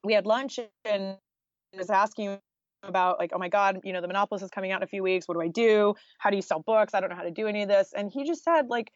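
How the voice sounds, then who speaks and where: A woman's voice: mid-range voice, fast, no room sound. Woman chatting casually in an interview, lots of like and you know, dry sound kinda lo-fi. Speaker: guest author and journalist.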